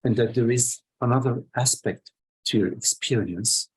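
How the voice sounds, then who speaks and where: A man leading a guided meditation as a teacher, speaking in short phrases with long pauses between them. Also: mid-range voice, slightly rough, low-quality recording.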